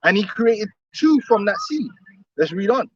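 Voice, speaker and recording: A man's voice: sits high, very animated, moderate pace, highs cut off. A man preaching outdoors on the street, emphatic and repetitive, often laughing between his points.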